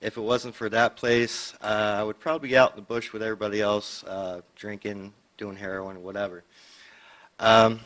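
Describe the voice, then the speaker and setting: A man from California who served in the army gives personal testimony at a county board meeting at a podium microphone, plain-spoken and halting, with many fillers. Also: lowish voice, flat delivery, fairly bright tone. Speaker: public commenter, army veteran. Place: public meeting chamber.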